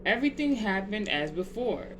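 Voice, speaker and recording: A storyteller reading a children's bedtime tale aloud in deliberate, measured phrases. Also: medium pitch. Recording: clear steady background noise.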